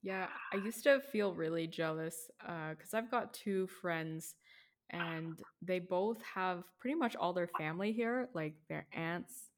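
A woman hosting a casual interview, relaxed and encouraging, asking open questions. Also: fairly low pitch, a little slow. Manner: relaxed, encouraging, many backchannels. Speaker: host.

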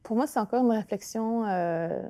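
A woman with a Quebec French accent and Innu as her first language, a guest author speaking thoughtfully with many pauses and restarts in an interview. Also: fairly high pitch, mellow, no room sound. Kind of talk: reflective conversational interview.

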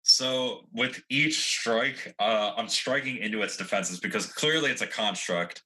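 A man with a fairly low, forceful voice plays a tabletop role-playing game. He talks casually and colloquially, moving between describing his moves and speaking in character. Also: a little slow, rough recording.

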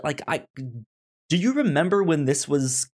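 A man hosting a podcast in casual discussion, speaking haltingly and often stammering over words and sounds. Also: a little high, big pitch swings, darkish tone. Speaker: podcast host.

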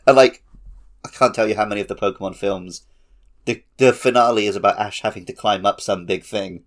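A man chatting casually as a podcast host, telling stories in an animated, laughter-prone way. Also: on the low side, brisk. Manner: animated storytelling with frequent laughter. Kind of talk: casual chat.

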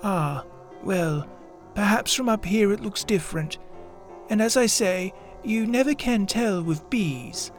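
A performer voicing an earnest, self-important toy bear in a children's readers theatre story, speaking deliberately and solemnly with a gently anxious air. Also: mid-range voice, animated, soft background music. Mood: anxious, musing.

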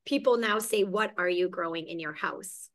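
A woman's voice: on the low side, moderate pace, fairly bright tone. A woman from Hungary, a business owner, telling her story in a casual interview, recorded at her indoor garden business.

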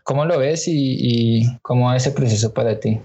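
A man with a Colombian accent hosting a casual chat, relaxed and joking. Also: medium pitch, moderate pace, husky. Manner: relaxed, joking, hesitant restarts. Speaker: host.

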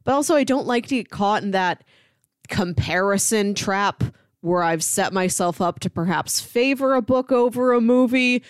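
A woman's voice: medium pitch, expressive, moderate pace, clean tone, no room sound, clean studio sound. A young adult woman co-hosting a podcast, giving her opinions in casual but articulate conversation. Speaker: podcast co-host.

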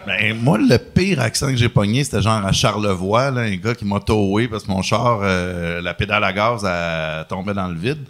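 A man with a Québécois French accent chatting as a podcast guest, teasing and swearing in deadpan jokes. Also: on the low side, normal speed, hardly any pauses. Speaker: guest comedian. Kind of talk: casual podcast chat.

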